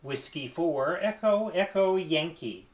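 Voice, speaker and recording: A man talking casually as an amateur radio operator, with hesitant, halting phrasing. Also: a little high, expressive, heavy background noise. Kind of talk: casual ham radio conversation. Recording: echoey, roomy sound.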